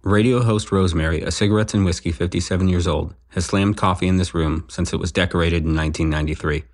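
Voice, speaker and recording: The narrator of an audio drama. He has a low, deep voice and reads the stage action plainly. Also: steady tone, fast talker. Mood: matter-of-fact. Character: matter-of-fact male narrator reading scene action.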